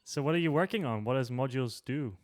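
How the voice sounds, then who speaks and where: A man's voice: mid-range voice, fast. Chatty man hosting a live stream. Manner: chatty, informal, swears casually. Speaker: host. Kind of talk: casual live-stream interview.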